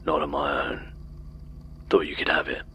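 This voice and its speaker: A man playing a menacing, slow-witted inhuman deliveryman who speaks in blunt, halting fragments in an audio horror drama. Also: rumbling low, little pitch movement, sharp tone, a little hiss or hum. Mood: grim, taunting. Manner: halting, terse, clipped fragments.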